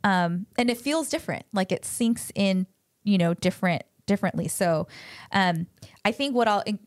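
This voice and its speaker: A woman's voice: mid-range voice, animated, moderate pace, no echo, clean studio sound. A woman from the United States, co-hosting a podcast and giving chatty parenting advice full of "like" fillers. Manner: chatty, many "like" fillers, voices quotes animatedly.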